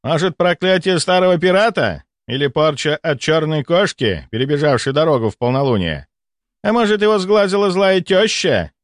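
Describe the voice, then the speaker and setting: A male narrator acts out a smug, sarcastic senior doctor for an audiobook. The voice rides high and swings theatrically, full of mockery and self-importance. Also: big pitch swings, a little fast, no echo, studio quality.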